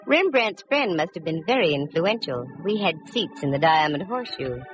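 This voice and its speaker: A woman's voice: on the low side, sing-song, moderate pace. An actress playing a witty, self-assured woman private detective in a wry, sardonic 1940s radio drama style. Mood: wry narrating. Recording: dull vintage radio recording with music.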